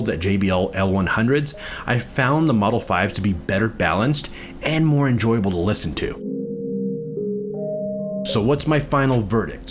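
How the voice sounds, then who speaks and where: Low-pitched man narrating a scripted review. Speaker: product reviewer.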